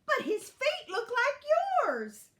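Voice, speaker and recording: A woman voicing a feisty, excitable mother hen in a children's storybook, with exclamatory, emphatic delivery. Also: very high-pitched, takes it slowly, voice pushed up, full character voice. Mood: insistent.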